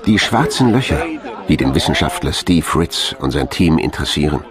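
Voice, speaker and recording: A man's voice: a bass voice, animated, normal speed, a crowd in the background. A man reading scripted documentary narration. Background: music.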